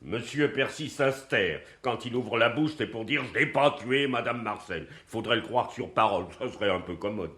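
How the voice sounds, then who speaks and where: An actor playing a sardonic, skeptical senior police inspector with a dry, mocking manner in a radio play. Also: male voice, fairly low pitch, normal speed, hardly any pauses, fairly bright tone, lightly acted. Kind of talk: French radio play acting.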